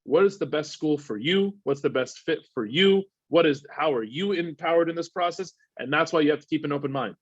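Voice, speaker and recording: Fast-talking man with filler-heavy delivery. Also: on the high side, dry recording. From Egypt. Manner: rapid, energetic, peppered with "uh" and "right?". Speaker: water polo executive director giving a recruiting webinar. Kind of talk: fast, informal presentation with frequent fillers. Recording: dry, slightly dull webinar audio.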